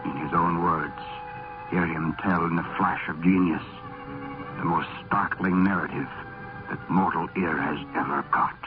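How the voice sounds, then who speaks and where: A man acting as a solemn, ominous narrator of a gothic radio drama, speaking in a grand, measured manner. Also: low voice, fairly even intonation. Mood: solemn, portentous. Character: solemn, ominous male announcer of gothic tales. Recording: muffled vintage radio recording, music behind.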